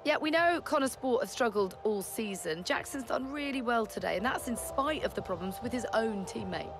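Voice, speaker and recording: A woman's voice: on the high side, animated, normal speed, over music. An actress playing a poised, probing motorsport interviewer in a game, polished and inquisitive in her questions. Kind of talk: broadcast interview in game cutscene.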